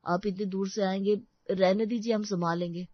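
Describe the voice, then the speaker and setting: A woman's voice: medium pitch, steady tone, normal speed, no echo, highs cut off, lightly acted. A woman playing a mild, respectful adult son who talks politely and hesitantly in a story. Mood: subdued, hesitant. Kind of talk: Hindi audio story dramatization.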